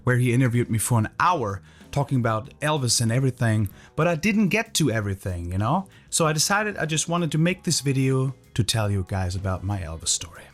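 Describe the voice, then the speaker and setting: Man in his 30s, German accent, German native speaker, casual vlog storytelling, loose and rambling, lots of fillers, mid-pitched voice. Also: brisk, few pauses, soft background music. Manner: relaxed, loose, lots of "you know" and "uh" fillers. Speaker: Elvis tribute singer and channel host.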